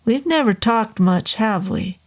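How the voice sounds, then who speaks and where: A woman's voice: medium pitch, expressive, measured pace. A woman voicing a congenial, joking caretaker man of sixty who talks in an easy, casual way. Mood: easygoing. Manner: easygoing, joking.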